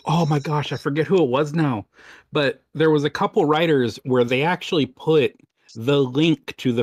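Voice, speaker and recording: A man with a medium-pitched voice chats casually on a panel. His delivery is informal and conversational, and the recording is close and dry. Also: no echo. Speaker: podcast panelist, voice actor who does sound design. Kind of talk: casual chat.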